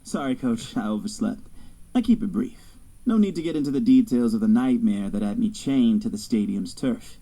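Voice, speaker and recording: A man's voice: mid-range voice, expressive, moderate pace, mellow, rough recording. An actor playing a proud young college quarterback who narrates his own story in an audio drama. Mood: guarded. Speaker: narrator and lead character.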